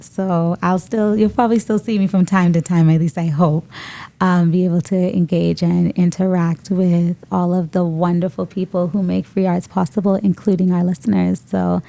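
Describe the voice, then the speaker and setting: A Bahamian woman co-hosting a podcast, speaking reflectively with many pauses and fillers. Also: fairly low pitch, gravelly, dark tone. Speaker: podcast co-host. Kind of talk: casual podcast conversation. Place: studio room.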